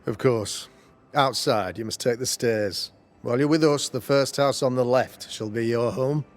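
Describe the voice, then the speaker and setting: Male actor as a self-righteous Puritan governor, middle-pitched, pompous, formal, preacherly, video game drama, soft music underneath. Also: a little slow, lightly acted. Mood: courteous.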